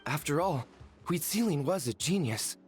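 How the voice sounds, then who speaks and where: Nervous humble young villager for a game, voice goes up and down, music behind. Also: male voice, a little high, animated, moderate pace, breathy edge. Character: meek, nervous, self-deprecating young tribesman from fallen shaman family. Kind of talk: video game voice acting.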